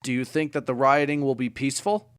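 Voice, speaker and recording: A man's voice: mid-range voice, steady tone, fast, clean tone, no room sound, studio quality, natural voice. A man playing an urgent breaking-news anchor in an amateur mock broadcast, with a formal announcer manner. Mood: concerned.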